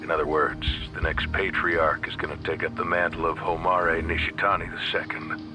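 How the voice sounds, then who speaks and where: A man plays a sardonic gangster in a game cutscene, with a deep, rough voice that often drops to a half-whisper. Game music plays under his lines. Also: little pitch movement, moderate pace, close to an everyday voice. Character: sardonic gangster grieving on the phone. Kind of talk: video game cutscene dub. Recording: dialogue over game music.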